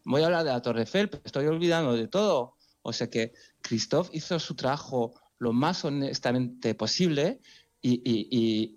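A man speaking in an emotional interview, earnest and halting, often restarting his words. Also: mid-range voice, a little mellow.

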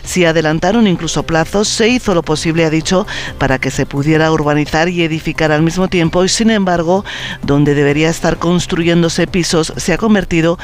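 A man's voice: fairly high pitch, fairly quick. A man with a Castilian Spanish accent delivering a scripted news report.

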